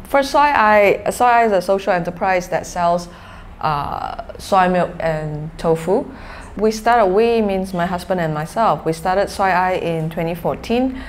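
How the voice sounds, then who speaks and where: A woman in her forties from Malaysia with a Malaysian accent, answering interview questions conversationally in a halting, explanatory way. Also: on the low side. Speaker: interview guest, social enterprise founder. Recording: clearly noisy background ambience.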